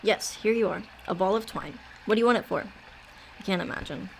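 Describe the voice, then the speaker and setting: A woman's voice: mid-range voice, a little fast, vocal fry, a little hiss or hum, close to an everyday voice, low-quality recording. A woman playing a helpful, puzzled, plain-spoken police sergeant with a deferential, folksy manner in a radio drama.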